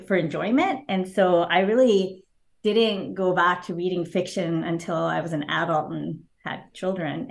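A woman whose first language is Japanese, speaking as an author guest in an interview, thoughtful and hesitant with fillers and soft laughs. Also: lowish voice, creaky. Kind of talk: casual interview answers.

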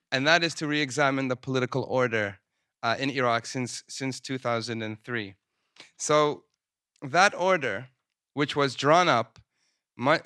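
A man presenting his research at a panel in a conference room, speaking in a measured way with frequent ums. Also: mid-range voice, bright, forceful tone, no echo, clean studio sound. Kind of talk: academic talk at a panel. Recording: poor sound quality.

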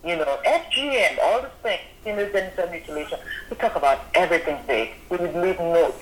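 A woman with a Cameroonian accent, a magazine founder speaking as a guest on a radio interview, expressive and quick to laugh. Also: fairly low pitch, reverberant, a little hiss or hum, low-quality recording. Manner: expressive, laughing often, acts out quoted voices. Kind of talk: animated radio interview talk.

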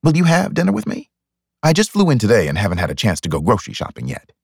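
Man playing a husky, flirtatious bachelor. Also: medium pitch, wildly swooping pitch, fast, dry recording, studio quality, heavily acted. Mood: confident, persuasive. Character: confident, flirtatious bachelor club owner, smooth and teasing.

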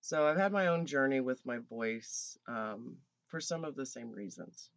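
Woman, low voice, podcast host, reflective questions, dry, muffled. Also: expressive, a little slow, creaky, no room sound. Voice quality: fairly low voice. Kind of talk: interview questions.

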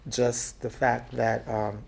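A middle-aged woman from Texas with a deep, raspy voice, talking and laughing her way through memories in an oral history interview. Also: slow pace, slight background noise. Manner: lively, talkative, laughs often. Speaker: activist interviewee.

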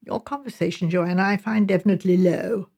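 Woman reading as dry, creaky, dignified English gentleman. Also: fairly low pitch, steady tone, a little fast. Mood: stiff dignity.

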